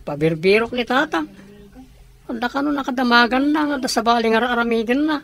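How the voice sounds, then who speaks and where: High-voiced, earnest Ilocano schoolchild. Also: female voice, animated, brisk, gravelly. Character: earnest, questioning schoolchild, younger sibling. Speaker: radio drama actor. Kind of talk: Ilocano radio comedy drama acting.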